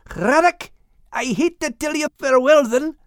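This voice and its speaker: A woman's voice: sits high, measured pace, dry recording, studio quality, voice pushed up. A woman voicing a hearty, affectionate male dog with a Scottish accent in a children's audiobook. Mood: grateful.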